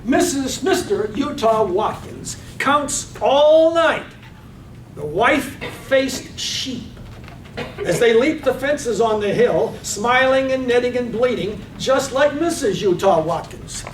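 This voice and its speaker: A woman narrating poetic scripted lines deliberately, phrase by phrase, in a staged radio play reading. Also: fairly high pitch, lively intonation. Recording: distant echoey room, faint noise.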